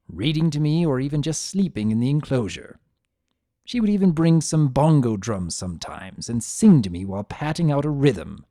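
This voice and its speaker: A man voicing a grumpy, possessive, secretly tender chaos creature who narrates his own story in a sardonic, expressive dramatic reading. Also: mid-range voice, no room sound, studio quality, lightly acted. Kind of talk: first-person dramatic reading of fan fiction.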